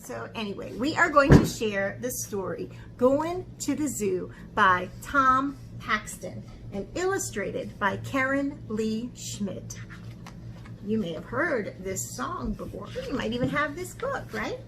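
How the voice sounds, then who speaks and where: High voiced lady chatting to kids as art camp host, casual, noisy background. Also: sing-song, takes it slowly. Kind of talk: casual talk to children, read-aloud. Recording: clear background noise.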